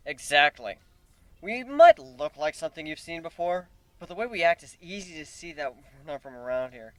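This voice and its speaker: A man's voice: a little high, big pitch swings, normal speed. A man voicing a wry, wisecracking human hero in a fantasy story reading, given to exclaiming. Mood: warning.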